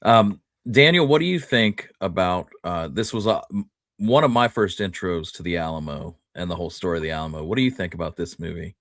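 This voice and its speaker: A man with a lowish voice hosts a relaxed history discussion. He talks informally, like he is among buddies, and the sound is close and dry. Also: brisk, no room sound. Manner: informal, buddy-like, peppered with "man" and "dude". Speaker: host steering the discussion. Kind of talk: casual chat about Old West history.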